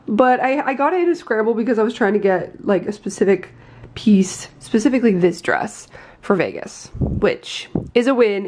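A woman's voice: fairly high pitch, a little mellow. A woman in her twenties chatting casually into her phone camera at home, rambling a little and laughing now and then. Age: 20s. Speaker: vlogger. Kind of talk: casual vlog chat. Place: home bedroom, indoors. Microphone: handheld phone camera.

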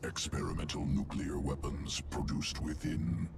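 A man voicing a cold, clinical alien creature in a video game, with a gravelly growl and clipped, detached phrasing. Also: a bass voice, level tone, measured pace, very noisy, full character voice. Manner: clipped, detached, omits small words. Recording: music under the voice.

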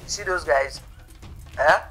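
A man with a Nigerian accent playing a cold, menacing kidnapper who speaks in deliberate threats in a screen drama. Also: a little high, bright, forceful tone, faint music behind, heavily acted. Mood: cold menace. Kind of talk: dramatic film acting.

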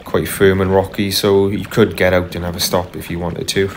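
A man narrating casually as he paddles a kayak on a river, unhurried, with frequent ums and uhs. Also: deep voice, little pitch movement, slight background noise. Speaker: kayaker vlogger. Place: kayak on a tidal river outdoors. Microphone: camera mounted on the kayak.

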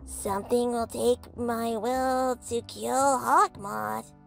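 A woman's voice: a little high, steady tone, slow pace, gravelly, soft background music. A woman voicing a tiny, grumpy male cat spirit with a dramatic, grumbling manner in a comic dub. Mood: vengeful.

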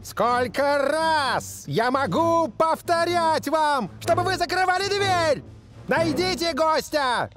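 Cartoon villain scientist, elderly, irritable, grandiose, very high swooping voice, scolding. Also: male voice, animated, takes it slowly, a bit bright, soft background music, full character voice. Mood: exasperated. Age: older. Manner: theatrical, scolding.

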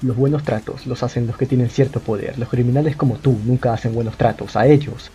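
Man acting as L, mellow cool young detective. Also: medium pitch, fairly even intonation, lightly acted. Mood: scornful, accusing. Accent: Latin American Spanish. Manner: deliberate, cool, accusatory. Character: calm, cunning young male detective confronting his suspect. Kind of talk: amateur film dub acting. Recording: music under the dialogue. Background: background music.

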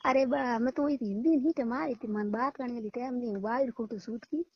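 A woman's voice: on the high side, a little fast, slightly rough. A woman with a Rajasthani Marwari accent arguing heatedly on a phone call, combative and coarse, swearing. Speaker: caller in a recorded phone call. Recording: muffled, echoey phone call recording.

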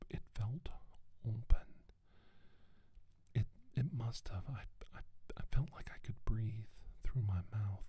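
A man playing a haunted doctor giving testimony of his abduction in a halting, deliberate ASMR whisper. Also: lowish voice, mellow, breathy edge, heavily acted. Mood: haunted, uneasy. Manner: slow, halting, deliberate, with pauses and repeated words. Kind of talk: whispered ASMR roleplay monologue.

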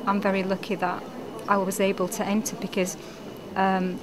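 A woman's voice: medium pitch, completely flat delivery, normal speed, background noise. A woman pageant contestant chatting in an interview, thinking aloud as she talks. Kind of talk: interview answers. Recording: crowd chatter behind.

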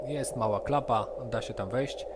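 A man narrating as game master in a steady, middle-pitched voice, laying out each scene patiently, his speech picked up over an online call with a heavy layer of hiss and noise beneath it. He describes places and dangers with calm detail. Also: unhurried. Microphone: computer headset or desk mic, video call. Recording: noisy online session audio.

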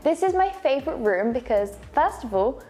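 A woman's voice: high voice, very animated, normal speed, dark tone. A young woman with an enthusiastic, polished delivery, presenting a house tour. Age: young adult. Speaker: presenter of a luxury home tour show. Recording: light background music. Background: faint music.

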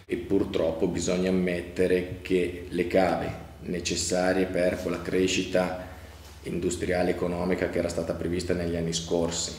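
A man's voice: low voice, darkish tone. A man, making a formal interview statement. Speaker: local administrator. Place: office indoors. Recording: roomy office, faint background noise.

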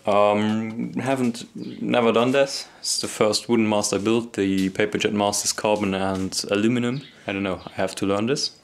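A man's voice: on the low side, steady tone, moderate pace, hardly any pauses. A young man casually talking through his woodworking steps in a garage workshop, recorded on an action camera. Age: young adult. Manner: easygoing, explains steps as he works. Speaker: hobby boatbuilder. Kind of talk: casual workshop vlog narration.

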